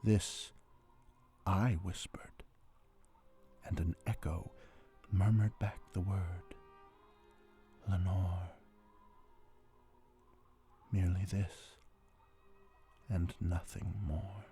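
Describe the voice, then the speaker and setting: A man recites gothic narrative verse in a slow, gravelly voice. His delivery is theatrical, dropping to hushed, breathy murmurs and rising to forceful cries. A string quartet score plays underneath. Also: low-pitched, flat delivery, soft background music. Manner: theatrical, swinging from hushed whispers to loud outbursts. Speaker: amateur reader of poetry. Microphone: close handheld portable recorder. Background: dramatic string quartet score.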